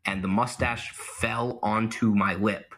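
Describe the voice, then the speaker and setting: Bright-voiced young man, self-mocking. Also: lowish voice, steady tone, slow. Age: young adult. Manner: self-deprecating, laughs at himself, many fillers. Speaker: guest, mock trial competitor. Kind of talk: casual interview answers.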